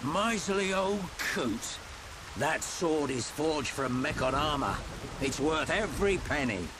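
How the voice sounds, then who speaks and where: Roguish middle-aged british trader from a video game, wry n theatrical, lots of rain noise. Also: male voice, fairly high pitch, measured pace. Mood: wry, mock-wounded. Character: well-travelled, roguish middle-aged trader with gruff cheer. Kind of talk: video game cutscene voice acting. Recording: steady rain-like noise behind dialogue.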